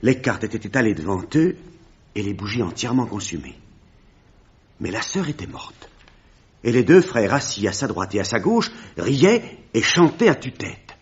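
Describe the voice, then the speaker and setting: Pasteur grave, voix basse et voilée. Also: male voice, big pitch swings, moderate pace, breathy edge, muffled recording, full character voice. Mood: grave, dramatic. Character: grave village pastor recounting a horrific family tragedy. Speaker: actor in a radio mystery play. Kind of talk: French radio drama acting.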